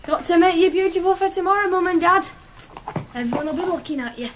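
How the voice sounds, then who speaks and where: A man's voice: very high voice, fast, fairly bright tone. A man acting a stubborn, earnest young ploughboy in a radio drama. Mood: earnest, hopeful. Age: young adult. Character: stubborn young ploughboy, devoted to his horses. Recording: noisy old radio broadcast.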